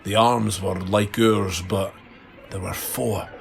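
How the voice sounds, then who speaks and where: Male actor as shaken abductee in audio drama. Low, very slow, halting recollection. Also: steady tone, vocal fry. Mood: haunted recollection. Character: shaken male witness recounting alien abduction encounter. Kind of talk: dramatised witness testimony.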